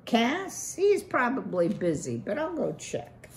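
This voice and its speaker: A woman's voice: on the high side, wildly swooping pitch, slow pace, a little mellow, a little hiss or hum, pitched above the performer's own voice, heavily acted. A woman doing a breezy, slangy beaver worker. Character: casual, chummy young male beaver worker.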